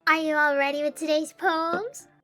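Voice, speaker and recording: A woman's voice: high voice, fairly even intonation, normal speed, fairly bright tone. A woman voicing a polite, composed teenage girl who leads a school club, lightly teasing, in an anime fan dub. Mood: cheerful, apologetic. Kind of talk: anime visual novel fan dub acting.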